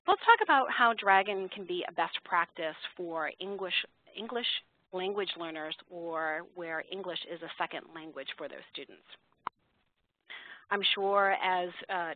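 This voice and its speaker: A woman giving an explanatory, measured slide presentation to educators, partly scripted, as a webinar presenter. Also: fairly low pitch, no echo. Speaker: software company webinar presenter. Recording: narrow-band phone line, dry.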